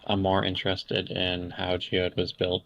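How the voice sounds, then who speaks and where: A man's voice: low-pitched, completely flat delivery, unhurried, fairly bright tone, muffled recording. A young man from Canada, a podcast guest talking in a casual, hesitant way full of fillers and restarts. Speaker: interview guest.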